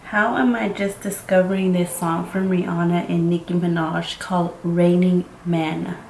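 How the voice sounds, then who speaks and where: A woman's voice: on the low side, level tone, moderate pace, vocal fry, a little mellow. A woman of twenty-five, a native Mixtec speaker and court interpreter, chatting casually and rambling through a makeup tutorial with lots of "like.". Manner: chatty and rambling, full of "like" and "freaking". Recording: roomy with faint ambient noise.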